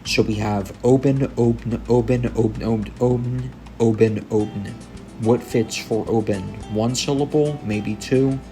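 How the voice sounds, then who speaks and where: A man's voice: medium pitch, steady tone, unhurried, warm tone. A young man giving gameplay commentary on patch notes, sounding tired and a little under the weather, with frequent ums. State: tired, coming down with a cold. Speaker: gaming YouTuber. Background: game music.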